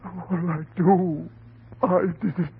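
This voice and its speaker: An actor playing an anguished, hot-tempered, guilt-wracked husband in melodramatic, stammering old radio horror style. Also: male voice, high voice, flat delivery, takes it slowly, vocal fry, exaggerated voice. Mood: guilty, pleading. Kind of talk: old-time radio horror drama acting. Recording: muffled, noisy old radio recording.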